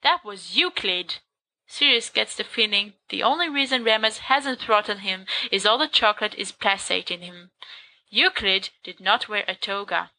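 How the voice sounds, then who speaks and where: A woman reading fan fiction aloud in a lively storytelling narration. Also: mid-range voice, normal speed, no echo, poor audio. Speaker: podfic reader.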